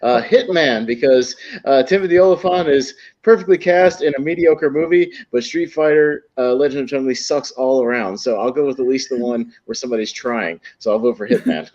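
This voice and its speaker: A man's voice: mid-range voice, fairly quick, darkish tone. A man chatting on a panel in an emphatic, sarcastic way, over a dull lo-fi microphone. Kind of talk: casual panel chat.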